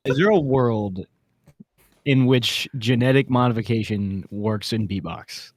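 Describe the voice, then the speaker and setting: A man's voice: medium pitch, measured pace, no echo. A man co-hosting a podcast in casual, slangy, informal chat. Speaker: podcast co-host.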